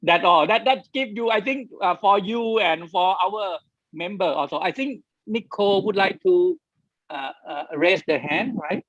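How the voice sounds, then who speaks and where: A man with a slightly high voice, stumbling through his points with constant restarts on a webinar. The sound is dry and muffled, with no room around it, as over a lo-fi video call. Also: measured pace, fairly bright tone, no room sound. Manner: hesitant, frequent restarts and repeated words. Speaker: webinar speaker. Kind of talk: halting online panel talk. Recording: dry, dull lo-fi call audio.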